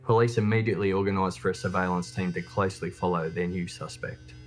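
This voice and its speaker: A man with a very low voice narrates a true crime documentary. His delivery is scripted storytelling, with soft guitar music behind him. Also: fairly even intonation, quick pace.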